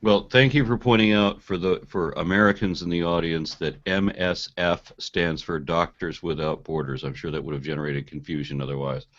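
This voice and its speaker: A man's voice: low-pitched, steady tone, normal speed, hardly any pauses, a bit bright. A man co-hosting a podcast in casual conversational talk, recorded in dull lo-fi call audio. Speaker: podcast co-host.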